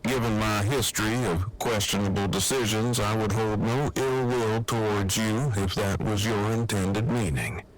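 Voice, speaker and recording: Man playing a low-voiced, stiffly formal male survivor. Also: steady tone, unhurried, hardly any pauses, music in the background, rough recording. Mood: earnest. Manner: stiff, verbose, precise diction. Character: formal, long-winded, earnest male survivor. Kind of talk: fan audio drama acting.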